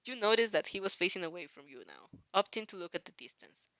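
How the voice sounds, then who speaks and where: A woman reading a story aloud as an amateur fan-fiction narrator, dramatic but halting, often repeating phrases. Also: mid-range voice, animated, a bit bright, dry recording.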